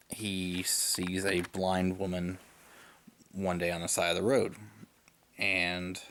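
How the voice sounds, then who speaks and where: Slow, gravelly-voiced young man vlogging. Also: low voice, fairly bright tone. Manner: rambling, hesitant with many fillers. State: sick, recovering from lost voice. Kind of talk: casual vlog chat.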